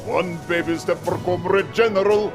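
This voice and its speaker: An actor playing a jovial, boastful middle-aged Soviet premier in a game cutscene, with a Russian accent and theatrical broken English. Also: male voice, high voice, steady tone, moderate pace, faint music behind. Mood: jovial, grandiose. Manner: theatrical, savoring each phrase, broken English. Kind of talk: video game cutscene acting.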